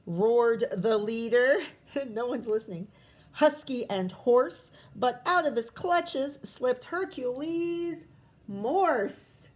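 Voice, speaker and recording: A woman teacher reading a picture book aloud to children, expressive and often pausing to prompt young listeners with questions. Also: fairly high pitch.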